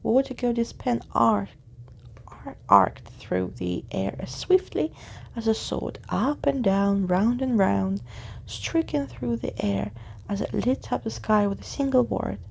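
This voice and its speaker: A woman reading a story aloud as a soft-spoken, unhurried and expressive ASMR storyteller. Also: fairly high pitch, gravelly, slight background noise.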